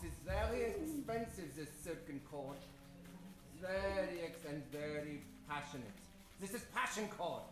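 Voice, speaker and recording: An actor playing a pompous, scheming male villain with a drawn-out melodramatic delivery in an old-time radio adventure parody. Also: high voice, expressive. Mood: smug, coaxing. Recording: roomy stage sound with music behind.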